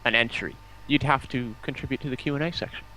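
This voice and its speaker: A man with an average-pitched voice is a guest on a livestream. His delivery is informal banter among friends. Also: fast, crowd noise, rough recording. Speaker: stream guest. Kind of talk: casual banter.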